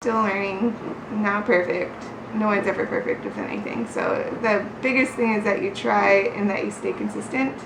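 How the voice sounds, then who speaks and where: A woman's voice: medium pitch, steady tone, normal speed. A woman chatting casually in a study vlog, informal and self-deprecating, with an encouraging way of talking. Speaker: vlogger learning a language. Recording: roomy echo, clear background noise.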